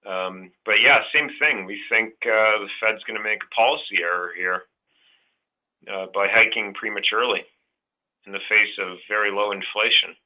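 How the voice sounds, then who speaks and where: Guy on a tinny phone line, lowish voice, giving off the cuff market takes, kinda halting. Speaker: investment colleague, commentator. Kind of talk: unscripted market commentary. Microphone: conference call line. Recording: thin phone-like conference-call line.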